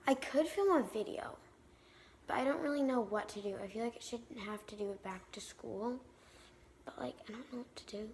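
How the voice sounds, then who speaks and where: A woman's voice: a little high, moderate pace, darkish tone, a little hiss or hum. A teenage girl chatting casually in a vlog, full of "like" and "um" fillers, filmed on a handheld phone. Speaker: vlogger. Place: home and outdoors, garden trampoline.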